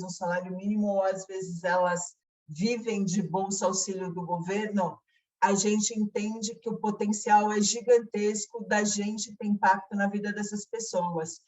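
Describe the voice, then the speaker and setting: A woman's voice: medium pitch, a little mellow. Brazilian woman presenting, distant from the mic. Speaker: company presenter. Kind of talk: explanatory company presentation.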